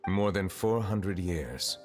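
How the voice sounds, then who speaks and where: Solemn ancient judge in a game, really deep, formal and modest, reverby with music. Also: male voice, expressive, darkish tone. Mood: grave, questioning. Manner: formal, deliberate, reserved. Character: solemn, dignified, centuries-old male chief justice, modest. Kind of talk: video game story dialogue.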